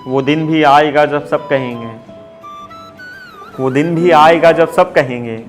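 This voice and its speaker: A man's voice: fairly high pitch, takes it slowly. Man declaiming a ghazal over music. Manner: declaiming, repeats each couplet with rising emphasis. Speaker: poet at an open mic. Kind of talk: ghazal recitation. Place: open mic stage in Mumbai. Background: musical instrument playing along.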